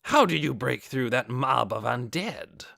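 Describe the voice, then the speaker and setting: A man's voice: medium pitch, animated, measured pace, vocal fry, sharp tone, dry recording, clean studio sound, exaggerated voice. Haughty evil necromancer boss, male reader doing him, harsh n bossy, big swings, fantasy audiobook. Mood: indignant, demanding. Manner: imperious, demanding.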